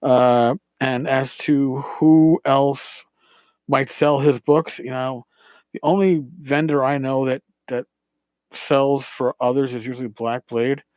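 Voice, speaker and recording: A man hosts a casual podcast, replying to listeners in a mid-range voice. He speaks haltingly, often repeating words as he searches for the next one. The recording is dry, with very little room sound. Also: mid-range voice, steady tone, darkish tone, no echo. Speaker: podcast host.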